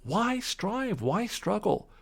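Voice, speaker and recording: A high, boastful toad. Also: male voice, very animated, slow pace, creaky, heavily acted. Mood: brooding. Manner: boastful, pompous. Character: vain reckless boastful male toad. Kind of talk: storybook audiobook acting.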